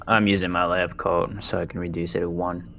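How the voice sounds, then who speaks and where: A man's voice: low voice, level tone, a little fast, gravelly, a bit bright. A man playing a tabletop roleplaying game, chatting casually about his moves with the group.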